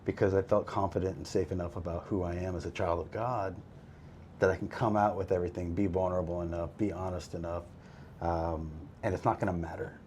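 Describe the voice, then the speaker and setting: Host, male, deep, easygoing and reflective, slight background hiss. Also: a little fast. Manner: relaxed, reflective, many fillers. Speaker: podcast host. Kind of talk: faith-based recovery conversation.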